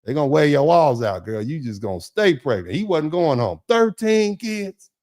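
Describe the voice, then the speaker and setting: A man hosts a loose, rambling livestream as a lawyer chatting with his audience. He talks straight to his viewers, laughs easily, and his voice jumps way up when he gets excited. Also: fairly high pitch, moderate pace. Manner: laughs often, addresses the chat directly. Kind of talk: casual livestream chat thanking viewers.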